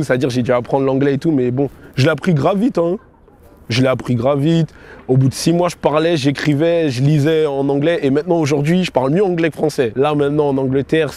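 A man's voice: mid-range voice, warm tone. A young man tells his own story casually, in a slangy, conversational manner full of check-in tags. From France (Paris area) and England (London). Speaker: storyteller recounting own life. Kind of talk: casual autobiographical storytelling to camera.

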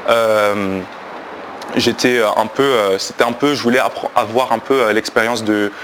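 A man in his twenties with a fairly low voice tells his own story without a script. He speaks haltingly and searches for words. Some background noise sits faintly behind him. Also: steady tone. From Belgium. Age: 20s. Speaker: guest giving a personal testimony. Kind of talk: unscripted personal testimony.

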